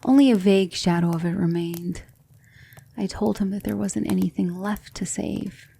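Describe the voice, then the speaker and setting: A woman playing a gentle, patient demon mentor in an ASMR roleplay, speaking softly and often in a whisper, soothing and reassuring. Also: medium pitch, moderate pace, creaky, slight background noise, lightly acted. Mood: calm, solemn storytelling.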